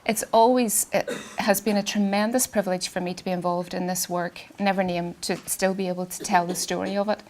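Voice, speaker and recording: A woman, an artist giving a public talk in a quiet, soft voice, hesitant with many ums and restarts, and she has a cold. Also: mid-range voice, steady tone, fairly quick, few pauses, slight background noise. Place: garden event space with sound system.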